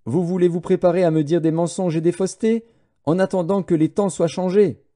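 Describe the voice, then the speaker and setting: A man's voice: high-pitched, steady tone, a little fast, mellow, voice pushed up, close to an everyday voice. A man reading the words of a troubled, suspicious king who issues commanding threats, in a dramatised scripture reading. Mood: stern, threatening.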